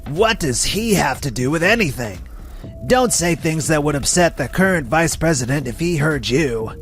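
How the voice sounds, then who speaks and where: Man acting as a smug, higher-pitched male executive. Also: animated, normal speed, soft background music. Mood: dismissive, defensive. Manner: dismissive, self-justifying. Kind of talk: dubbed comic voice acting.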